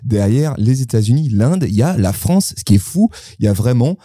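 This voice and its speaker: A man's voice: mid-range voice, big pitch swings, a little fast, warm tone, slightly rough, no room sound, studio quality. A man co-hosting a marketing podcast, who asks questions and often adds a tag "hein". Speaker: podcast co-host. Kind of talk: casual marketing podcast chat.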